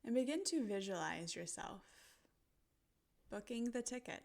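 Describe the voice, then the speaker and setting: A woman narrating a guided visualization in a gentle, soothing manner, pausing deliberately between short phrases. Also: fairly high pitch, animated, vocal fry.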